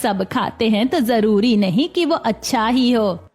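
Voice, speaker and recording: A woman's voice: fairly high pitch, animated, measured pace, darkish tone. A woman playing a firm, practical, admonishing mother in a cartoon story. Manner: admonishing, instructive. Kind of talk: Hindi cartoon story dialogue.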